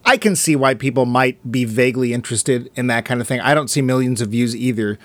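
A man's voice: mid-range voice, a little fast, fairly bright tone, no echo. A man co-hosting a casual film review chat, joking and sarcastic as he talks. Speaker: film podcast co-host.

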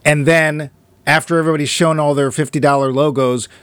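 A man's voice: a little high, steady tone, a little fast, a bit bright, clear, resonant tone, dry recording. A man co-hosting a casual film review chat, joking and sarcastic as he talks. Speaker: film podcast co-host.

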